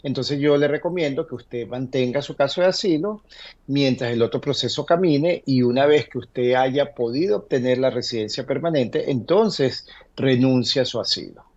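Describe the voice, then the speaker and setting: A man speaking as an immigration lawyer, giving conversational advice in a halting way, often checking in with short tag questions. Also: medium pitch, darkish tone, lo-fi sound. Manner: hesitant, halting explanations, tags statements with "verdad".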